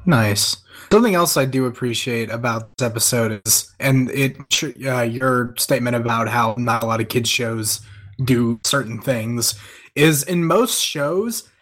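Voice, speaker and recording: A man with a medium-pitched voice takes part in a casual group discussion. He speaks informally and haltingly, circling around what he means. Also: fairly bright tone. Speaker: podcast panelist.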